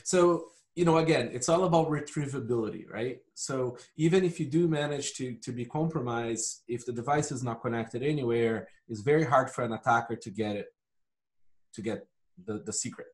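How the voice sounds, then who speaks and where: A man's voice: medium pitch, moderate pace. Matter-of-fact man explaining in an interview. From Brazil. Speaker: podcast guest, hardware company founder.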